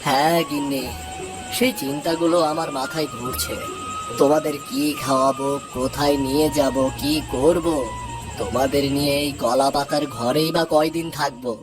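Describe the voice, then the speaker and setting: A man voicing a troubled, caring village husband and father in a cartoon story, earnest and expressive. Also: a little high, normal speed, close to an everyday voice. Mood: worried. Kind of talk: dramatic cartoon story dub. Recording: loud music under the voice.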